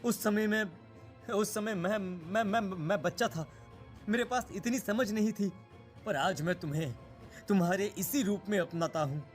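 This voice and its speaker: Man as a young protective cartoon brother, high voice, halting melodramatic pleas, guilt-ridden, faint music behind. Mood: pleading, desperate. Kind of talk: Hindi horror cartoon voice acting.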